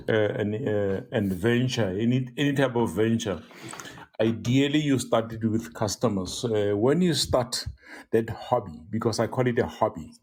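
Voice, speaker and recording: A man on an interview panel asking a long, rambling question, halting on repeated fillers. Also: mid-range voice, husky.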